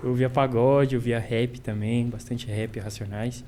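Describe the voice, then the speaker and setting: Young man, student, mellow voice, halting, searching for words, faint ambient noise. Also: mid-range voice, fairly even intonation. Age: young adult. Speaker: music student.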